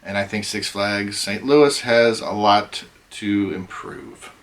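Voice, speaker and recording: A middle-aged man hosting a casual chat, rambling with many ums, his voice going hoarse. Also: fairly low pitch, slow, fairly bright tone. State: losing his voice. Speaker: host.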